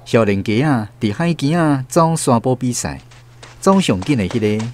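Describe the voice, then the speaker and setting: Animated Taiwanese male storyteller. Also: medium pitch, moderate pace. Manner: expressive storytelling. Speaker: narrator. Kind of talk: scripted literary radio drama narration.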